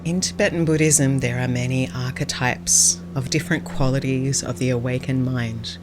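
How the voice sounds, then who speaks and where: Female meditation guide, calm and gentle, mid-low register, unhurried teaching delivery, noticeable ambient noise. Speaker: Buddhist nun, meditation teacher.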